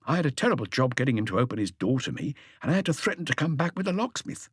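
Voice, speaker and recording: Man reading as an earnest, animated young police inspector. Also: mid-range voice, racing, slightly rough, dry recording, studio quality. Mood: earnest reporting. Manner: detailed, deferential reporting with asides. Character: thirty-five-year-old male police inspector, earnest, eager, slightly awkward.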